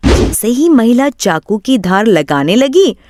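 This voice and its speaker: Slow-paced woman storyteller, noisy recording. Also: a little high, very animated. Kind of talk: scripted moral-story narration.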